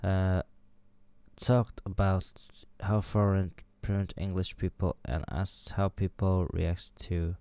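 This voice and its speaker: A man's voice: sits low, flat delivery, slow pace, dark tone, clear, resonant tone, no room sound. A man streaming a dating game from his home room on a headset mic, mumbling and joking as he reads out choices. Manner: mumbling, thinking aloud, joking. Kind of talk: let's play commentary.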